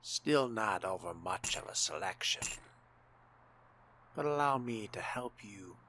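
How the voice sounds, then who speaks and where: A man voicing a composed, haughty young vampire in a role-playing game, speaking formally and deliberately with wry theatricality. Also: on the low side, expressive, sharp tone, a little hiss or hum, exaggerated voice. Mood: suave. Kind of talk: in-character gameplay narration.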